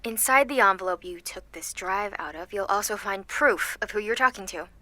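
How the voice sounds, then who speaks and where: Bright-voiced sarcastic teenage girl supervillain, played by a woman. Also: mid-range voice, normal speed, natural voice. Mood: defiant, theatrical. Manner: theatrical, sarcastic, swearing. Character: seventeen-year-old girl supervillain, sarcastic, defiant, anxious. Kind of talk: audio drama monologue, recorded confession.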